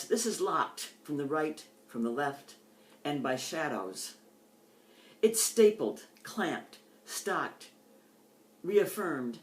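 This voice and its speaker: Older woman poet in an echoey room. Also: deep voice. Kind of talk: poetry reading with informal introductions. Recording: echoey, roomy sound.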